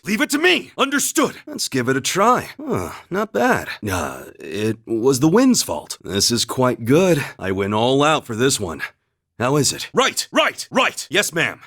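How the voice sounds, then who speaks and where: An actor playing a calm, earnest young warrior in a video game, humble and loyal, with a measured, formal way of speaking. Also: male voice, fairly high pitch, vocal fry, slightly rough, exaggerated voice. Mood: battle shouts, then easygoing. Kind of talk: scripted video game voice acting, battle cries and story dialogue.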